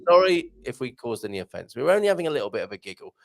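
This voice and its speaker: A man's voice: mid-range voice, sing-song, racing, creaky. A man hosting a live chat show, joking around, laughing often and reading out viewer comments. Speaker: host.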